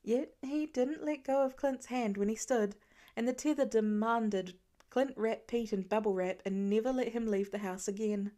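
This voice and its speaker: High-voiced woman narrating a story. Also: moderate pace, warm tone. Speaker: fan fiction audiobook narrator.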